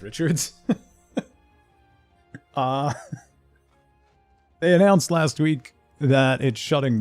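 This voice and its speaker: A middle-aged man chats casually as he streams a game, drifting into muttering to himself. His voice is mellow with plenty of gravelly fry, recorded dry with faint music underneath. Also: mid-range voice, no room sound. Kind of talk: casual chat while playing a game, replying to viewers.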